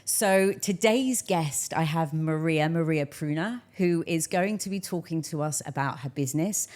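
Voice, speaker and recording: A woman's voice: sits low, normal speed, darkish tone. A woman hosting a conversational interview, speaking in an earnest, emphatic way. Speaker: interview host.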